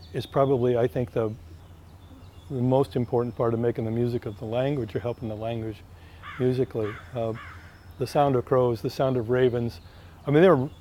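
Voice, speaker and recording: A poet speaking in a thoughtful, halting way, searching for his words during an outdoor interview in a park. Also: mid-range voice, warm tone. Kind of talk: reflective interview talk.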